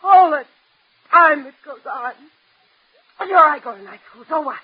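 High-pitched, overwrought young factory girl. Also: moderate pace. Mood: anguished, tearful. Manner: overwrought, ranting, repeats herself. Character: bitter, self-loathing young factory worker, a daughter. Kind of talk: melodramatic radio drama acting. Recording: muffled, dull old radio drama recording.

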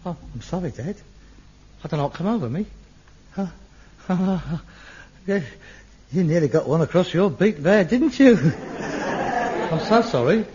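An actor playing a frustrated middle-aged son, theatrical, swinging from wistful muttering to manic ranting in a sitcom. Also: male voice, high-pitched, animated, measured pace, pauses often, a little mellow, slightly rough, some chatter behind, exaggerated voice. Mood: defensive bickering. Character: frustrated middle-aged son, a rag-and-bone man with grand dreams. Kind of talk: 1970s TV sitcom acting. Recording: dull old TV soundtrack.

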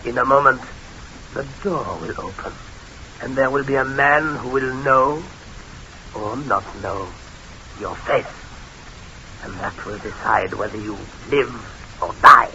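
A man's voice: mid-range voice, takes it slowly, long pauses, bright, forceful tone, full character voice. An actor playing an old French patriot father, grave and courteous, with a French accent and formal, theatrical delivery in old-time radio drama style. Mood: ominous. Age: older. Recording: dull, hissy old radio recording.

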